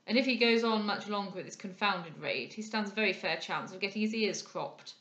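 A woman's voice: medium pitch, brisk, fairly bright tone, highs cut off, natural voice. A woman voicing a blustering, irritable male aristocrat in a period novel, brusque and scornful. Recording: echoey room.

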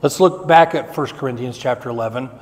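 Man preaching in a reverberant church. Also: mid-range voice. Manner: preaching with swelling emphasis and slow repeated phrases. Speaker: pastor. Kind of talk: sermon. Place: church sanctuary, indoors. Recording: long reverb of a church hall.

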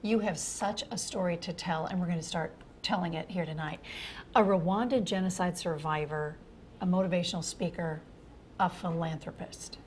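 A woman's voice: fairly low pitch, fairly even intonation, normal speed, a little hiss or hum. An older woman hosting a TV news studio interview, asking emphatic leading questions. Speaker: TV news host.

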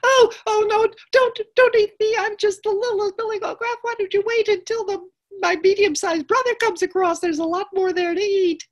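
A tiny storybook billy goat, acted out by a woman, timid and quick to panic. The voice sits very high and pleads anxiously, like a frightened little brother. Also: fairly even intonation. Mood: frightened, pleading. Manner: pleading, alarmed.

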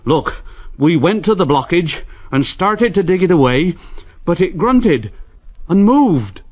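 Jumpy, insistent workmen, as a male storyteller puts them on, speaking up higher than his own voice. They sound rattled and stubborn, refusing to be doubted. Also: slow pace, heavily acted. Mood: alarmed. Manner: excited, insistent, raised. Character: group of excitable scared male workmen.

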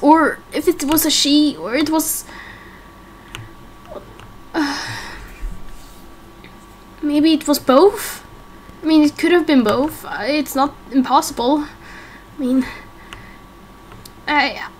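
A woman playing a confused, frightened young amnesiac in a game roleplay, speaking in halting fragments, with some background noise. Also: high-pitched. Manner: halting, fragmented, self-questioning. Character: confused, frightened young amnesiac part-creature, vowing peace. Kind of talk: amateur game roleplay acting.

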